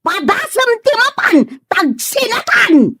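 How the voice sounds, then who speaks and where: Furious shrill domineering mother. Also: big pitch swings, slow pace, dry recording, studio quality, heavily acted. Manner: threatening, shouting commands. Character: furious domineering mother opposing her child's wedding. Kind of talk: Ilocano comedy drama dialogue.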